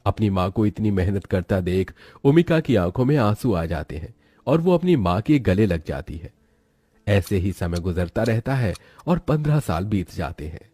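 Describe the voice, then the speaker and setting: A man's voice: fairly low pitch, sing-song, normal speed, warm tone, husky, no room sound. A man narrating a scripted moral story as a storyteller.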